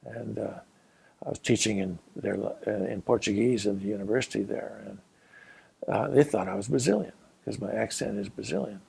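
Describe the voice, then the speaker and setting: An older man with a low, deeply gravelly and husky voice tells stories from his years abroad. He speaks unhurriedly, stopping often to search for words and filling the gaps with "uh". He sounds like a retired professor reminiscing. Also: a little mellow. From Colorado, USA. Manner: unhurried, halting, with frequent pauses and "uh" fillers. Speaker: former Peace Corps volunteer and geography professor. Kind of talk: reminiscing personal story.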